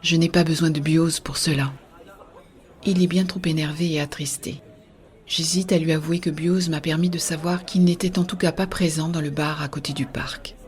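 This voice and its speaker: A woman playing an earnest, idealistic young-hearted believer in a scripted science-fiction audio drama, with a husky, soft delivery. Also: low voice, fairly even intonation, normal speed, lightly acted. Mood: hushed, pensive. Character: Béa, earnest idealistic woman defending a shared-consciousness network. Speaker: voice actor narrating in first person.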